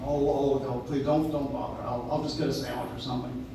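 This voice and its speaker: Man playing a genial gentleman, heavily echoing room. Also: medium pitch, steady tone, a little fast, close to an everyday voice. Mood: bashful. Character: friendly, slightly bashful gentleman neighbour, gently persuasive. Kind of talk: live stage radio play acting. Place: live stage in a coffee house. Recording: echoey, noisy audience recording from far off.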